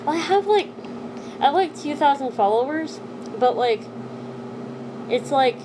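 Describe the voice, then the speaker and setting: A young woman chatting casually on a live stream from home, rambling and filling every pause with "like". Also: sits high, expressive, noisy background. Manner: rambling, heavy use of "like" fillers. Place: home, sitting on her knees.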